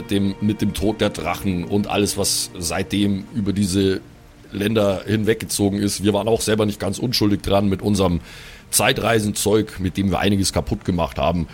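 A man acts out a dutiful adventurer in a fantasy audio play. He reasons earnestly and resignedly, pausing as he thinks, over faint music. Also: lowish voice, lightly acted. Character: dutiful, studious older-brother adventurer, resigned and practical.

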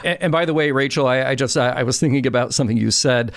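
A man's voice: medium pitch, fast talker, no room sound. A man in casual podcast conversation, speaking reflectively and explaining his ideas as a co-host.

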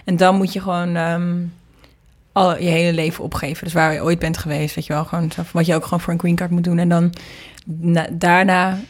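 A woman's voice: on the low side, flat delivery, a little fast. A woman from the Netherlands, being interviewed and explaining things informally, thinking aloud as she goes. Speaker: interviewed singer and producer. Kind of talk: casual interview conversation.

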